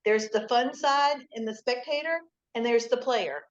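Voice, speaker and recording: A fairly high-pitched middle-aged woman speaks cheerfully as a podcast guest. Her voice comes through dull and lo-fi. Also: moderate pace. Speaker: club owner and parent. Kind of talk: podcast interview answers. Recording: dull, lo-fi sound.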